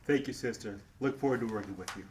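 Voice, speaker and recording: A man's voice: medium pitch, fast talker, a little mellow, a little hiss or hum, lightly acted. A man playing an earnest, persuasive civil rights leader in a dramatic reading, speaking in an oratorical way. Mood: grateful.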